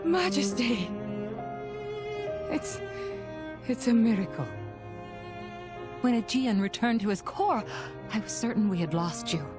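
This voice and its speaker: A woman's voice: on the high side, animated, slow pace, pauses often, music in the background. A woman playing a dutiful, devoted imperial officer in a video game, speaking formally and earnestly. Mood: astonished, relieved. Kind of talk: dramatic video game voice acting.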